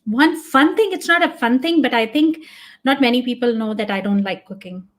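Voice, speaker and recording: A woman's voice: a little high, moderate pace. A woman whose first language is Punjabi, speaking as a podcast guest in an earnest, reflective way, thinking aloud. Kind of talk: casual interview talk.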